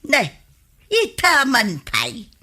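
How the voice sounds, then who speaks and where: A woman with an Ilocano accent playing a gossipy, complaining village housewife in an expressive radio comedy style. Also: high-pitched, very slow, exaggerated voice. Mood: wheedling.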